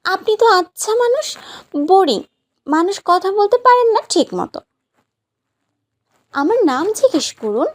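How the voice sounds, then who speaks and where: Casting: actress as teasing, bossy young bride for romantic drama; high pitch, lively swoops, insistent. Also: female voice, moderate pace, long pauses. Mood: pouty, exasperated. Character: playful, demanding young new bride, sweetly bossy. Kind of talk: romantic audio story acting.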